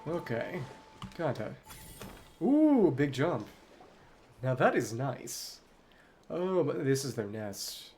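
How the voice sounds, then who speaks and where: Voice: medium pitch, very animated, slow, pauses often, darkish tone, slight background noise. A streamer playing a video game with casual commentary, thinking aloud in fragments and exclamations.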